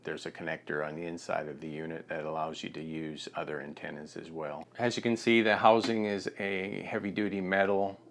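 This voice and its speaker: An American man in his fifties giving a plain, halting instructional product demonstration on a lapel mic in a studio. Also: sits low, fairly even intonation, gravelly, fairly bright tone. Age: 50s.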